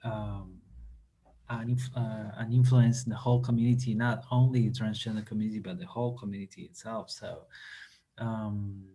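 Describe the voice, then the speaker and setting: A man from Argentina, a native Spanish speaker, answering interview questions over a video call, pausing often with fillers and restarts. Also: lowish voice, little pitch movement, dark tone, rough recording. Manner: halting, many fillers and restarts. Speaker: company representative guest.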